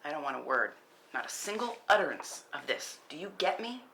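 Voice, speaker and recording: A bright, forceful actress playing a woman who is tough, guilt-ridden and done with crime. Her pitch moves freely in a naturalistic drama table read. The room recording has some noise behind it. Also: sing-song, fairly quick, creaky, exaggerated voice. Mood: angry, firm.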